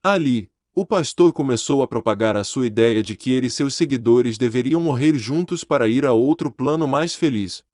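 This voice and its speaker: A man narrating a documentary in a measured, serious tone. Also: mid-range voice, animated, darkish tone, dry recording, clean studio sound. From Brazil.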